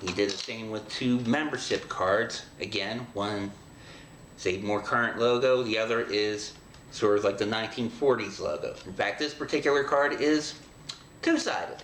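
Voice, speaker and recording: A middle-aged man hosting a show, talking casually to the viewer in a halting, rambling, conversational way. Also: lowish voice, a bit bright. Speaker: host of an audio drama show. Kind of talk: casual show introduction to camera. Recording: echoey room with background hiss.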